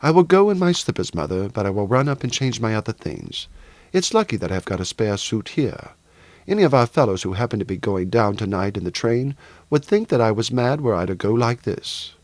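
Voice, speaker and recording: A man voicing a brave, weary, good-humoured young gentleman soldier in an audiobook novel. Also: fairly low pitch, sing-song, fairly quick, no echo, pitched below the performer's own voice. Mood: cheerful.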